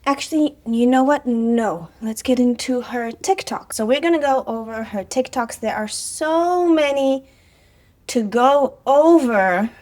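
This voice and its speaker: A woman's voice: a little high, unhurried, a little mellow. A woman, chatting casually on her vlog with sarcastic asides and frequent fillers. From Israel. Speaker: vlogger, personal trainer.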